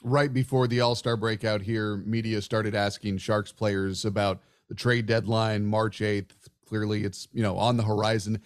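A man's voice: fairly low pitch, flat delivery, normal speed, clean tone. A man hosting a sports radio show, asking questions and presenting in a conversational way. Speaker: sports podcast host, interviewer.

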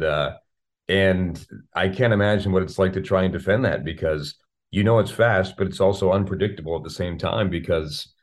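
Low-voiced man talking hockey, halting delivery. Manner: halting, word-repeating, wordy analysis. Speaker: radio play-by-play announcer, guest. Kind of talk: sports interview chat about hockey.

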